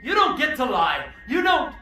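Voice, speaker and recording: A man voicing an unhinged, vengeful ex-soldier in a video game, with forceful, ragged intensity. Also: very high voice, huge pitch swings, moderate pace, slight background noise, heavily acted. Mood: enraged. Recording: echoey room, music behind.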